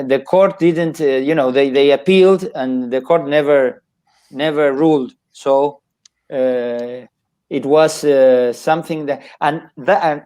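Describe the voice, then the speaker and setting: An older man from Argentina, talking as a guest author on a video call, halting and restarting often with small chuckles. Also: on the high side, a little mellow, smooth. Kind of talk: casual chat on a video call.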